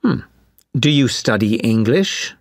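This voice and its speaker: A woman playing an examiner in a speaking test, asking each question slowly and clearly. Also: rumbling low, expressive, no room sound, clean studio sound. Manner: slow, clearly spaced questions. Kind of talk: scripted exam interview.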